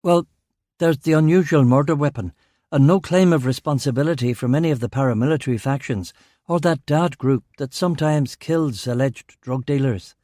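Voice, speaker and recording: This is a man playing a police detective in a crime audiobook. He is mid-pitched, rattled and a little defensive, respectful to his bosses, in a very dry recording. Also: moderate pace, mellow, no echo, natural voice. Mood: deferential, factual. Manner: defensive, deferential. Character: male detective narrator speaking, rattled, sweary, deferential to superiors. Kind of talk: audiobook character dialogue.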